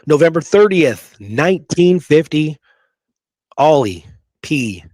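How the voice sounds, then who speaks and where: A man's voice: on the high side, big pitch swings, takes it slowly, darkish tone, no room sound. A man hosting a casual history talk from notes, speaking in an emphatic, conversational way and often checking in with the listener. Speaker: podcast host.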